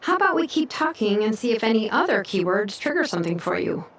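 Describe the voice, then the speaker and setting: A woman's voice: mid-range voice, big pitch swings, fast, fairly bright tone, recorded from a distance. A woman playing a curious, analytical young machine expert in an anime game, explaining things thoughtfully.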